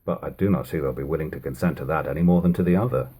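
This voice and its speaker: A man's voice: very deep voice, very fast, dark tone, husky, voice pushed down. A man voicing an anxious working sailmaker, a former sailor, in storybook dialogue, subdued, hesitant and plain-spoken. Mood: subdued, resigned.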